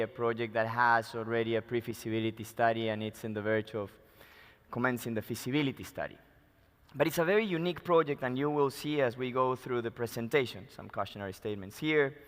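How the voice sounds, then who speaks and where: A man with a Spanish accent delivers an investor presentation in a reverberant conference hall. His voice is clear and resonant, and he speaks in halting, emphatic phrases, like an executive addressing a room. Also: medium pitch, fairly bright tone. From Peru. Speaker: mining company chief executive presenting. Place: large indoor conference room.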